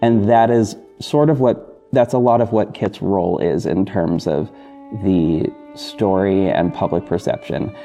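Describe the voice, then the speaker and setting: Very mellow, low-voiced man rambling over music. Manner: halting, self-correcting, rambling. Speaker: podcast host and audio drama creator. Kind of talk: casual unscripted talk to listeners, apologetic. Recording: dull lo-fi recording with music bed. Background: soft guitar backing music.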